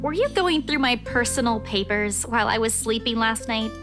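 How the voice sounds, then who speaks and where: A woman's voice: on the high side, expressive, normal speed, lightly acted. A woman voicing a troubled, guarded young woman in a visual novel, with guitar music behind her. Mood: wary. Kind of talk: visual novel character read-aloud.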